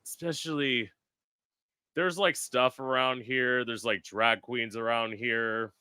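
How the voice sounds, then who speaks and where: A man's voice: medium pitch, steady tone, slow pace, pushed and bright, smooth, no room sound, clean studio sound. A man from South Florida hosting a podcast in casual chat, talking with lots of filler words. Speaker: podcast host.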